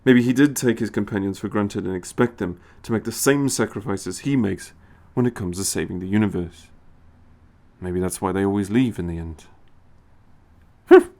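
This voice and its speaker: Low-voiced man narrating a story. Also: normal speed, darkish tone.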